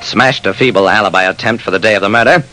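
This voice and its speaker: An actor playing a brisk private detective who narrates his case tersely and wryly in an old radio crime drama. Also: fairly low pitch, heavy background noise, natural voice. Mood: matter-of-fact, wry. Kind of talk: 1940s-50s radio crime drama, first-person narration. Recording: old radio broadcast recording.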